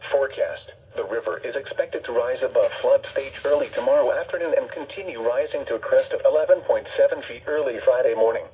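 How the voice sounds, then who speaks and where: A man's voice: mid-range voice, fairly quick, few pauses. Dull-sounding synthetic male weather radio announcer. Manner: flat, formal, synthetic delivery. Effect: played through weather radio speaker. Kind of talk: scripted emergency weather bulletin. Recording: dull radio speaker, faint background noise.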